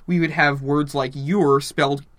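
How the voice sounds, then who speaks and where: A man's voice: a little high, fairly even intonation, unhurried, fairly bright tone. A man in his twenties, rambling and opinionated in a casual chat as podcast co-host. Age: 20s.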